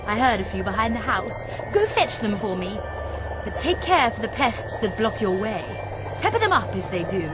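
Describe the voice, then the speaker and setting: A woman playing a vain, bossy, gluttonous grande dame in a video game, pompous and theatrical in delivery. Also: fairly high pitch. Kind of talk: video game character acting.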